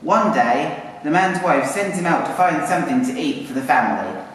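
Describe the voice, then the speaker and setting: Male storyteller, lively higher-pitched voice, informal and chatty, distant mic, cavernous echo. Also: normal speed. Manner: chatty, informal, coaxing the children. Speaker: narrator and puppeteer. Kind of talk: live storytelling with audience questions. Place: live puppet show in arts centre. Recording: echoey hall, distant mic, faint noise.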